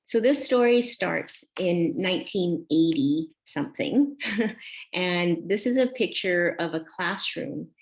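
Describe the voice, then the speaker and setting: A woman in her forties, born in Vietnam, giving a reflective conference talk built on a personal story, pausing often with soft fillers. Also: fairly low pitch, lively intonation, rough recording. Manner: slow, reflective, with frequent ums. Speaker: conference speaker.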